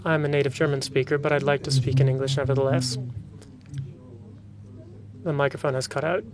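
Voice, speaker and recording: A man working as a conference interpreter, rendering a speaker's remarks in a formal, steady official tone. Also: medium pitch, a little fast. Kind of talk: simultaneous interpreting of a panel discussion. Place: conference room with an audience. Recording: conference room with audible crowd.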